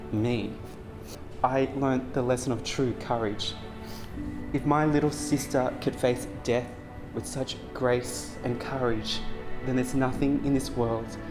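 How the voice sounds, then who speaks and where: Young man, from Cambodia, soft-spoken, earnest, halting with ums, mid-pitched voice. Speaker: business founder. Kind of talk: documentary testimony and reflective narration.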